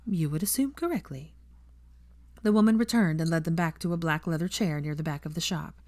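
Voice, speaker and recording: A woman's voice: sits low, fast, darkish tone, no echo. A woman narrating a scripted story as an audiobook reader.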